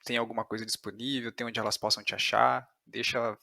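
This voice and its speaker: A man's voice: fairly low pitch, level tone, a little fast, gravelly, pushed and bright. A Brazilian man hosting a podcast interview in a casual, conversational style.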